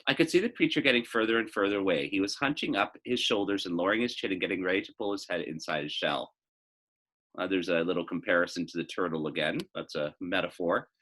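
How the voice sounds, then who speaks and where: A man reading a children's novel aloud as expressive narration, now and then adding a short teaching aside. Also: sits low, quick pace.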